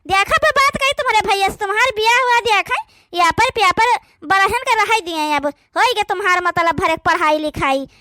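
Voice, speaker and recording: A woman's voice: very high voice, normal speed, very noisy. A woman with an Awadhi accent voicing a bossy young sister-in-law who scolds and orders in a cartoon. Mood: scolding. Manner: sharp, scolding, ordering about. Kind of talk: cartoon voice acting.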